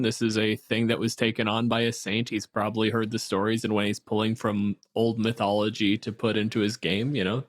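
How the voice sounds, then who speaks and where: A man's voice: medium pitch, no room sound, studio quality. A man chatting casually as a podcast co-host, explaining monster lore in a wry way with the odd swear word.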